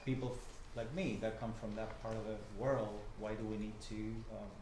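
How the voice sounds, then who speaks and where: A man from Buenos Aires with an Argentine Spanish accent, a journalist and professor giving an informal talk to a group, halting and full of restarts, often checking in with the room. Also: fairly low pitch, little pitch movement. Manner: halting, many fillers and restarts, asks the room questions. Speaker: guest speaker, journalist and professor. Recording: echoey room, faint noise.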